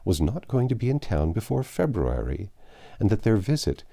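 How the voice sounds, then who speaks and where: A man narrating a classic novel aloud in a measured, expressive way. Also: lowish voice, vocal fry.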